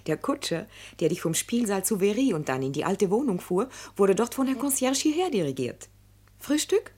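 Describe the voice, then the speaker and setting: Husky, lively young sweetheart. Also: female voice, medium pitch, normal speed. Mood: bright, coaxing. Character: loving young actress mistress, cheerful and coaxing. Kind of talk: German radio play acting.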